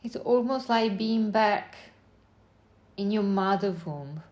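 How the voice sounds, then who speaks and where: Slow-paced woman guiding a meditation. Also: medium pitch, expressive. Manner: unhurried short phrases with long pauses, gentle instructing. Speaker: meditation guide. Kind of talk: guided meditation, slow spoken visualization.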